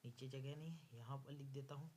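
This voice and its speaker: A man's voice: medium pitch, fairly even intonation, brisk, a little mellow, a little hiss or hum. A man with an Indian accent teaching an exam lesson, dictating step by step and repeating phrases word by word as he writes. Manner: instructive, repeats phrases word by word while writing.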